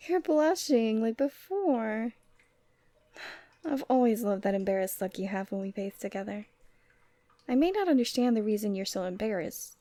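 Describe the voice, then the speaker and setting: A woman acts out a doting yeti girl for an ASMR roleplay. The voice sits fairly high for a woman. She is tender and teasing, coaxing softly with breathy sighs and a lonely fondness. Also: no echo, close to an everyday voice. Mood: content, relaxed. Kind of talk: ASMR roleplay audio drama.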